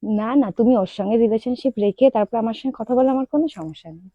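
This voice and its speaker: Young female prank-call host, rapid and teasing casual delivery, dry lo-fi sound. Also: a little high, mellow, dry recording. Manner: teasing, persistent. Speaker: prank caller and vlog host. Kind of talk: casual prank phone chat with asides to viewers.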